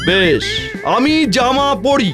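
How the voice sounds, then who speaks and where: A man's voice: very high voice, lively intonation, takes it slowly, exaggerated voice. An actor voicing a sarcastic, mocking male schoolteacher in a cartoon, with music playing behind. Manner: teasing, sarcastic scolding. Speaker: teacher.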